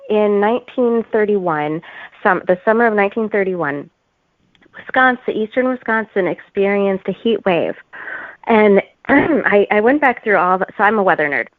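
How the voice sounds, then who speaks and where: A woman speaks casually as a guest author in an interview. Her pitch is average and her delivery informal, with stops and starts. The sound is dry, with a narrow, phone-like band. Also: expressive, a little slow, no echo.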